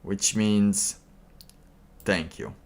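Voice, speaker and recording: Male streamer, deep register, unhurried and halting, thinking aloud in loose, trailing phrases. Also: fairly even intonation, a little mellow. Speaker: livestreamer. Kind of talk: casual livestream monologue.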